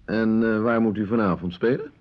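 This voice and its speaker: An actor in a Dutch radio play, playing a grumbling but hospitable village doctor who talks hesitantly and informally. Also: male voice, fairly low pitch, steady tone, normal speed, lightly acted. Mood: sociable. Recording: dull lo-fi radio play recording.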